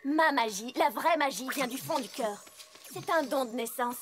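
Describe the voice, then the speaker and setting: A woman's voice: high voice, normal speed, soft background music, natural voice. A woman voicing a bookish, earnest young female pony magician in a cartoon dub, lecturing and emphatic. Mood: firm, lecturing. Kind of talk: animated cartoon dub acting.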